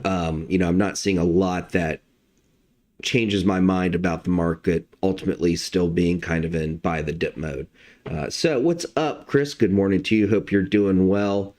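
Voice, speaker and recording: A man hosting a casual live stream of market commentary as a trading analyst. His voice is slightly hoarse, and he talks in a relaxed way with many uh's and um's. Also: low voice. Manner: relaxed, lots of fillers like uh and um.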